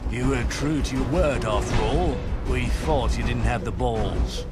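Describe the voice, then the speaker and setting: An actor playing a menacing, smug wildling raider in a fantasy video game. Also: male voice, medium pitch, a little slow, close to an everyday voice. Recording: loud music and battle sounds behind.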